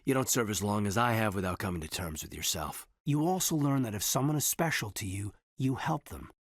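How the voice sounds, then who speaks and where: An actor playing an earnest, reserved military officer in his thirties in a sci-fi video game, speaking in a measured, hesitant, husky voice. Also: lowish voice, animated, no room sound, studio quality. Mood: earnest concern. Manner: hesitant, measured, sincere, deferential. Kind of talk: video game character dialogue.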